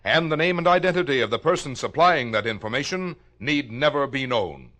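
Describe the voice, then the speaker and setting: A man announcing formally for a 1950s radio drama, measured and official. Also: mid-range voice, animated.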